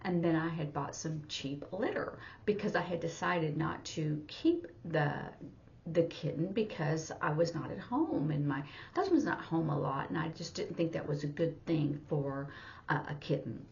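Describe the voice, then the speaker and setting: A woman teacher retelling a story informally for a lesson, in a halting, step-by-step way. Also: deep voice, lively intonation, gravelly, darkish tone. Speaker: sign language teacher. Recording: dull, muffled lo-fi audio.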